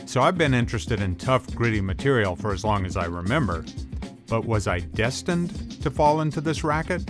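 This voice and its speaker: A man's voice: mid-range voice, expressive, normal speed, music in the background. A middle-aged man hosting as a film expert, delivering scripted documentary narration with colloquial, hardboiled slang. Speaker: host, tough guy film expert.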